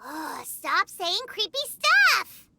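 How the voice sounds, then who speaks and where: Squeaky, swooping childlike little sprite. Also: female voice, huge pitch swings, slow, creaky, heavy background noise, full character voice. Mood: indignant. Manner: childlike, blunt, easily miffed. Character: tiny childlike floating companion, squeaky and indignant. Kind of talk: video game voice acting.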